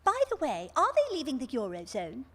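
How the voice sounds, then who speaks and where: A woman's voice: sits high, huge pitch swings, fairly quick, a bit bright, full character voice. A woman playing a dotty, snobbish society mother asking earnest, prying questions in a radio comedy. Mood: earnestly nosy. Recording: reverberant hall recording.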